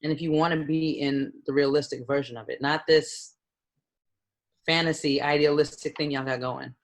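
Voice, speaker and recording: Actress as blunt professional best friend: lowish female voice, casual, frank, conversational table read. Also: steady tone, moderate pace. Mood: frank, concerned.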